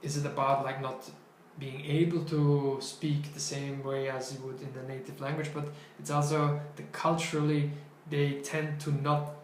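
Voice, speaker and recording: A young man who is not a native English speaker co-hosts a relaxed startup chat, his voice sitting a little high. He talks in loose bursts with frequent restarts. The small office around him is echoey, with a faint hiss behind. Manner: loose, halting, with many restarts. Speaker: co-host. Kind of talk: casual podcast chat. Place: small bright office room.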